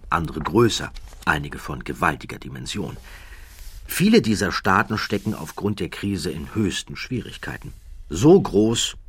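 A man narrating a nonfiction audiobook in a measured, dramatic storytelling manner, recorded close in a studio. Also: deep voice, a bit bright, slightly rough, dry recording.

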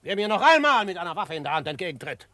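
An actor playing a frank, self-assured young frontier newcomer in a German Western radio drama, speaking firmly. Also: male voice, sits high, very animated, fast talker, sharp tone, no echo, full character voice. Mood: fed up, commanding. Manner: frank, firm.

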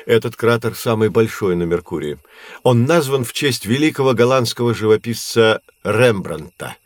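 An actor playing a patient, knowledgeable astronomy professor who explains things in a measured, didactic way in an educational audio drama. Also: male voice, mid-range voice, lively intonation, no echo, close to an everyday voice. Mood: instructive. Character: male astronomy professor, patient, knowledgeable teacher of curious children.

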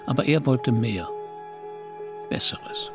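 A man's voice: medium pitch, huge pitch swings, takes it slowly, music in the background. A man giving scripted literary narration in a German radio play, telling the story in the third person.

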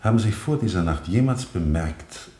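Male defense lawyer, radio drama: low voice, sweeping solemn rhetoric, roomy acoustic. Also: expressive, moderate pace, warm tone. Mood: gentle questioning. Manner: rhetorical, solemn, emphatic, clearly articulated. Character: eloquent, earnest male defense lawyer pleading in court. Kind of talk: 1970 German radio play acting, courtroom oratory. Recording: roomy studio courtroom echo of 1970 radio.

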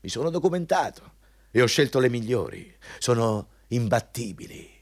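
A man's voice: medium pitch, big pitch swings, normal speed. An actor playing a pompous, overbearing male company boss in a radio drama, hectoring and self-important. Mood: pompous, needling. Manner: pompous, hectoring, switching between scolding and ingratiating. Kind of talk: Italian radio drama acting.

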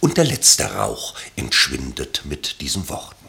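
A man narrating a literary radio play from a script in an expressive, wryly elevated manner. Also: rumbling low, a little slow, gravelly, in a whisper.

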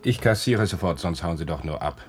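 An actor in a German radio play playing a curt, officious waiter who speaks in a brusque, bureaucratic way. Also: male voice, deep voice, expressive, fairly quick, crowd noise. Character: curt, officious, grudging waiter of a dystopian café. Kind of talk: German science-fiction radio play acting.

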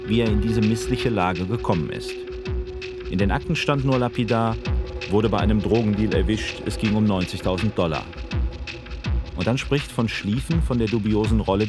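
A male reporter narrates a documentary in a measured, faintly ironic tone. His voice sits on the low side, and music plays clearly beneath the narration.

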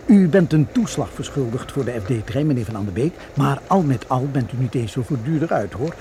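An actor playing a courteous, precise railway ticket clerk in a radio drama, formal and helpful. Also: male voice, medium pitch, animated, fairly quick, mellow, natural voice. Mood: polite, precise. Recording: radio play with clear background noise.

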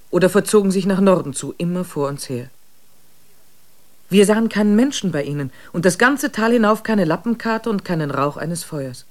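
A man narrating a radio play in a measured, solemn storytelling voice. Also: high voice, a little mellow.

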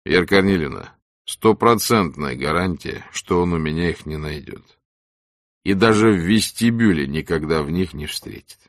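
A man voicing a gruff, wry, ailing ex-camp exile who tells things in animated colloquial speech. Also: low voice, normal speed, dry recording, clean studio sound. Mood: persuasive, joking.

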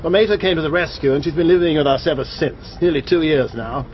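An actor playing an easygoing, drily jocular English country squire with an upper-class accent in a radio drama. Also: male voice, a little high, fast, natural voice. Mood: breezy. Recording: dull recording with faint background noise.

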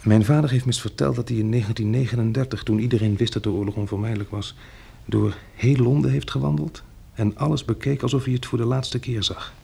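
An actor in a radio drama playing a courteous, wry gentleman who has survived a catastrophe, in intimate, naturalistic dialogue with a gravelly, husky voice. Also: medium pitch, steady tone, fast, hardly any pauses, full character voice. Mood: wistful.

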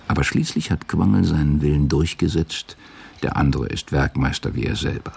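A male narrator reads literary prose for a radio drama. His voice is extremely deep, with a creaky edge and a husky grain. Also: normal speed, a little mellow.

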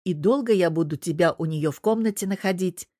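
A woman voicing a gruff older father, a stern but amused tough boss who needles, in a story reading. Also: on the low side, fairly quick, warm tone, no room sound, clean studio sound, close to an everyday voice. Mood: teasing gruffness.

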